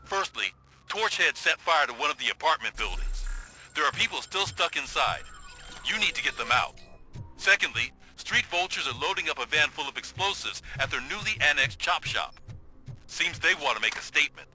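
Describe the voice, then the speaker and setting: Urgent cop giving a briefing, sharp forceful voice, a bit high, game cutscene. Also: male voice, animated, moderate pace, rough recording. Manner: urgent, businesslike. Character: urgent policeman briefing an officer on emergencies. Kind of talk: video game cutscene acting.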